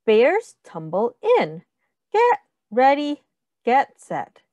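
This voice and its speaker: Woman preschool read-aloud teacher. Higher-pitched, very lively intonation, instructive and sing-song, inviting kids to count along. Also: very slow, no room sound, muffled recording. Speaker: teacher reading a picture book aloud to young children.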